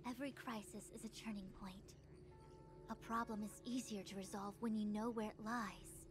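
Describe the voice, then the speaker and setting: A woman playing a brisk, serious diviner and strategist in a video game, speaking formally and with command. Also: mid-range voice, fairly even intonation, close to an everyday voice. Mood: urgent, resolute. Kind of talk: scripted video game voice acting. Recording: background music under the voice.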